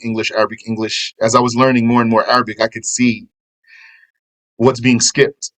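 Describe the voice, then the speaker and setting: A man's voice: medium pitch, fairly bright tone, poor audio. Man teaching a religious text. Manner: explanatory, reads a passage then comments, mixes in Arabic terms. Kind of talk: religious lesson, commenting on a translated text.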